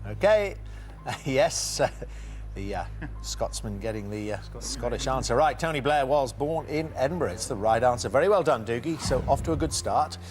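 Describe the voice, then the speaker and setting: A man's voice: mid-range voice, animated, normal speed, soft background music. Quiz show host man, chatty. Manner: chatty, jokey, with frequent fillers. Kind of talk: scripted TV quiz hosting.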